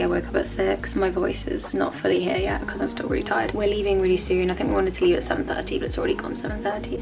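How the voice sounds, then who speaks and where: Young woman, chatty vlogger, quick talker, lowish voice. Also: vocal fry. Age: young adult. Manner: chatty, rambling, upbeat. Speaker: student vlogger. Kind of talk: casual vlog chat to camera.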